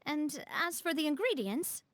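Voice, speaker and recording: An actress playing a poised, warm and decisive young woman leader in a video game. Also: high-pitched, very animated, normal speed, a little hiss or hum. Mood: friendly, easygoing.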